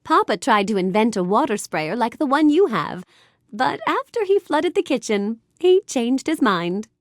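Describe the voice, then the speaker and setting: A woman playing a sweet, curious, bookish young fairy-tale heroine in a video game, speaking with gentle, courteous wonder. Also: fairly high pitch, normal speed, dry recording, studio quality. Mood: warm, friendly. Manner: gentle, wonder-filled, courteous, expressive delivery. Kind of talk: animated game character dialogue.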